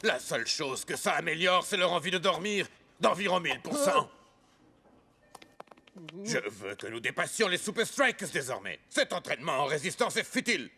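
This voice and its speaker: A man's voice: a little high, very animated, moderate pace, a little hiss or hum, exaggerated voice. A man voicing a theatrical, emphatic cartoon football character. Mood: scornful. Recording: loud music behind the voice.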